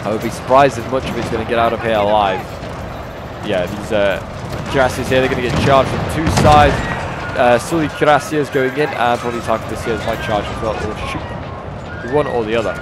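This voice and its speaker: A man commentating a game battle replay in a casual, rambling way, his voice a bit hoarse from a cold. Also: mid-range voice, fairly even intonation, brisk. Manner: rambling, with frequent fillers and restarts. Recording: loud game music underneath. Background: loud game battle music and gunfire.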